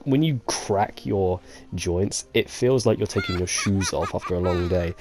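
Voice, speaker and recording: A woman's voice: very deep voice, animated, a little slow, noisy background. A woman gamer giving casual, joking commentary while playing, swearing freely and laughing often. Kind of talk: casual let's-play commentary.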